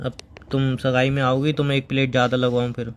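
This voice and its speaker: A man acts out a young boyfriend in a comic phone-call skit. He talks rapidly at an ordinary male pitch, arguing and teasing with a mock-aggrieved, chatty delivery. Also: level tone, slight background noise, close to an everyday voice.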